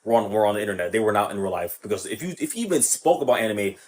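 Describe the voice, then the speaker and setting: A man's voice: lowish voice, big pitch swings, rapid-fire, a little mellow, slight background noise. A man talking casually in a rambling way to the camera, with many fillers and restarts. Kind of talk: casual video monologue.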